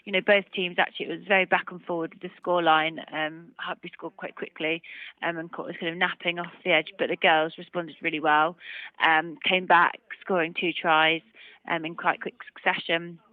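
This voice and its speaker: A woman's voice: fairly low pitch, little pitch movement, moderate pace, no echo. Woman on a muffled phone line. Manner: hedging, loose run-on answers with "kind of" and "you know". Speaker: rugby player being interviewed. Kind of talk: casual post-match interview chat. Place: phone call. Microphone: telephone.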